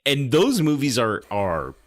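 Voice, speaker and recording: A man's voice: medium pitch, huge pitch swings, a little slow. A man hosting a podcast, reviewing films in a casual, sardonic and critical way. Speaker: podcast host. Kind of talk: casual film review chat.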